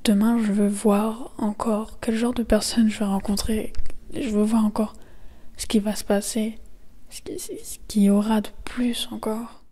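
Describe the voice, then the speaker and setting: A woman narrating a walking journey in a soft, thoughtful voice that is breathy and mellow. Her pitch stays flat and even, and faint music plays under her. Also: unhurried. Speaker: travel vlogger, narrator. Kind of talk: reflective voice-over narration.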